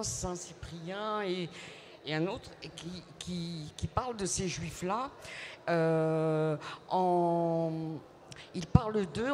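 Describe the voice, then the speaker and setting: A woman author in an interview, answering in a reflective, measured way with frequent hesitations and repeated words. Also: lowish voice, a little mellow, slight background noise. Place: book fair at a city hall.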